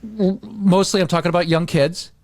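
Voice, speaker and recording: Middle-aged American male podcaster, slightly high voice, dry close sound, loose repetitive delivery. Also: fairly even intonation, moderate pace, a little mellow, no echo. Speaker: podcast host. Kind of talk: casual podcast chat.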